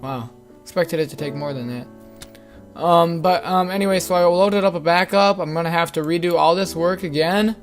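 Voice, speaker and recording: A man's voice: on the high side, normal speed, soft background music. A young man with a voice that is giving out, rambling through a casual game commentary with many fillers. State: losing his voice. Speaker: gaming channel host. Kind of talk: casual Let's Play commentary.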